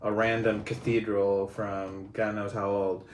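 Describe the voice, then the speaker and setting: A man from Spain hosting a casual podcast conversation, chatty and quick to laugh. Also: fairly low pitch, little pitch movement. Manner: chatty, laughs often, many restarts. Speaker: podcast host. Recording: echoey room with faint noise.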